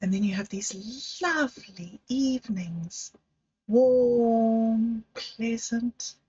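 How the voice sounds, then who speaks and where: Slow, unhurried woman telling stories. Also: on the high side, a little mellow, breathy edge. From Zimbabwe. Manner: unhurried, laughing, trailing off. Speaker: livestream host. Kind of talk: rambling personal storytelling. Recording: dull lo-fi sound.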